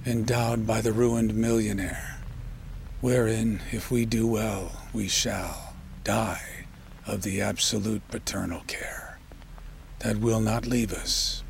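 Male poetry reader, solemn and unhurried, gravelly with breathy endings, measured dramatic delivery over faint ambient noise. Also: on the low side. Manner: measured, solemn, with deliberate pauses. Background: ambient sound design.

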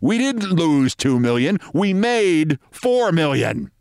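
A knowing senior baseball executive, played by a male storyteller, amused and condescending. He explains with deliberate emphasis, his pitch moving widely in a close, dry sound. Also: very animated, darkish tone, clear, resonant tone, no echo, full character voice. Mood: emphatic explaining.

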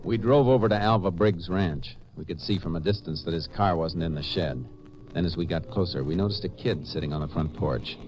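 An actor playing a laconic, methodical Texas Ranger in 1950s radio drama, speaking in a matter-of-fact, firm, clipped way. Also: male voice, deep voice, brisk, loud music behind the voice, close to an everyday voice. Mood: measured narrating. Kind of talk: 1950s radio drama acting, dialogue and narration. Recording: dull, noisy 1950s radio recording.

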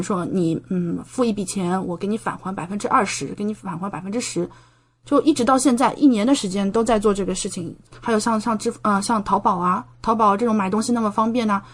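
A woman from China talking casually as an interview guest, explaining things at length with plenty of examples. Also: medium pitch. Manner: explanatory, talkative, gives examples. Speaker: podcast guest, doctoral student in medicine living in Japan.

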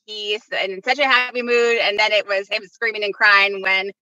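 Bright, earnest woman podcast guest. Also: mid-range voice, fairly even intonation, moderate pace, dry recording, poor audio. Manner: earnest, chatty. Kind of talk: casual chat.

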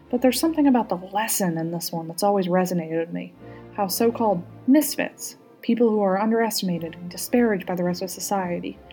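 A woman's voice: medium pitch, dark tone, close to an everyday voice, rough recording. Reader playing earnest woman leader in an audiobook. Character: earnest, warm-hearted woman national leader. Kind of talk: audiobook character dialogue.

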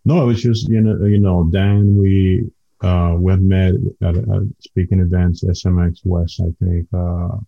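A man's voice: sits low, little pitch movement, unhurried, gravelly, lo-fi sound. A man from the Dominican Republic, a native Spanish speaker with a Dominican accent, telling his story casually as a podcast guest and often restarting phrases.

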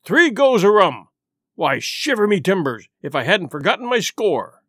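A man's voice: high-pitched, sing-song, moderate pace, bright, forceful tone, no room sound, clean studio sound, full character voice. A man voicing a hearty, jovial old male sea cook in a storybook reading, full of salty sailor slang. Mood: boisterous.

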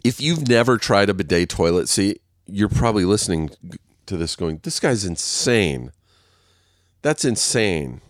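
A man's voice: fairly low pitch, sing-song, a little slow, no echo, clean studio sound. A man hosting a casual solo podcast, rambling and swearing, laughing at himself, sounding congested and nasal. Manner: rambling, profane, self-mocking, laughs often. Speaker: podcast host. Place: waiting on a set, recording alone.

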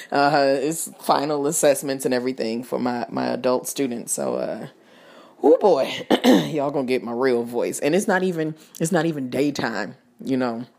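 Husky, worn-out podcast host. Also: medium pitch, lively intonation, moderate pace, darkish tone. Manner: chatty, laughs often, talks straight to listeners. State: worn out, rough-voiced. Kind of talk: casual album review.

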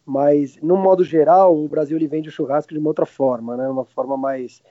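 A man in his late thirties from Argentina with a Brazilian accent, chatting casually and haltingly as an interview guest, often backtracking mid-sentence. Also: fairly high pitch, steady tone, no room sound. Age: late 30s. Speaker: podcast guest, restaurant owner. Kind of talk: casual chat. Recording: roomless dry, slightly dull lo-fi sound.

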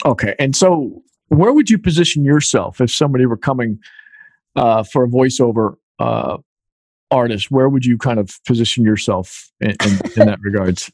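A man's voice: medium pitch, moderate pace, darkish tone, no echo. A man hosting an interview, asking casual questions and often repeating words as he starts a thought. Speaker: podcast host.

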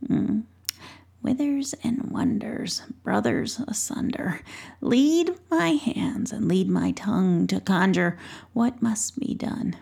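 A woman voicing a croaky old hag witch who wheezes, cackles and mutters spells in a whispery voice. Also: mid-range voice, slow pace, darkish tone, full character voice. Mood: muttering.

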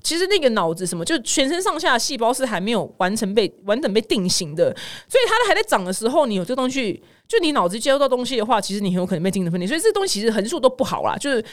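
A woman podcast host with a Taiwanese Mandarin accent and a very lively, swooping delivery. She chats casually and comically, leaning into dramatic exaggeration. The sound is dry and close, with no room to it. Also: a little high, racing, no room sound. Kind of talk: casual comedic news chat.

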